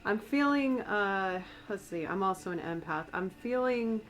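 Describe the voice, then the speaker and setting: An American woman with a slightly raspy voice from a cold, giving a soft, meandering, conversational ASMR-style tarot reading. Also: medium pitch, animated, unhurried, faint music behind. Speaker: tarot reader and astrologer.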